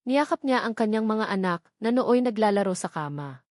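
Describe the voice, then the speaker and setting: Woman reading a dramatic love story, mid pitch, dry close mic. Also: lively intonation, normal speed, a little mellow, no echo. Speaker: narrator. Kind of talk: scripted narration of a romance story.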